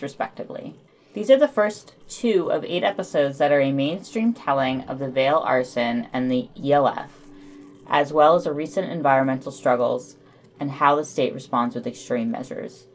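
Woman, low-pitched voice, podcast host, scripted news reading with personal commentary. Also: darkish tone, a little hiss or hum.

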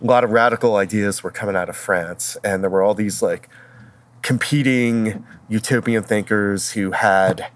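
Man, lowish pitch, podcast panelist, reflective and explanatory, conversational. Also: fairly even intonation, normal speed, a little hiss or hum. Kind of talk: casual discussion.

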